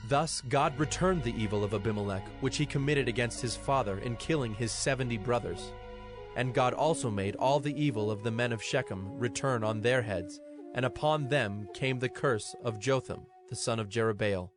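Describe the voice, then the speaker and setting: Solemn male scripture narrator over music. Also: mid-range voice. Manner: measured, solemn.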